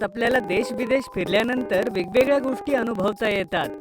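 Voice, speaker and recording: A woman reading a scripted children's story as its narrator. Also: on the high side. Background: music.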